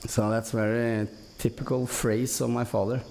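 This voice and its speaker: Man, Norwegian accent, creaky gravelly voice, medium pitch, hesitant phrasing, casual podcast guest storytelling. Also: level tone, a little mellow, slight background noise. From Norway. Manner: halting, hesitant delivery with frequent long hesitations.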